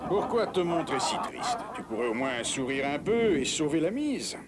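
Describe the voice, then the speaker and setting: Proud middle-aged lord: fairly high, lively pitch, stern and commanding, cartoon dub with background noise. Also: male voice, normal speed, over a noisy crowd. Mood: impatient. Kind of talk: dubbed cartoon acting.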